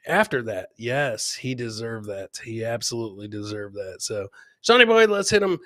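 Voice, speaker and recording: Bright-voiced middle-aged man hosting, jokey. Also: mid-range voice, big pitch swings, normal speed, dry recording, studio quality. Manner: jokey, repeats his catchphrases. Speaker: comedian hosting a livestream clip show. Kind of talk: casual live commentary on viral clips.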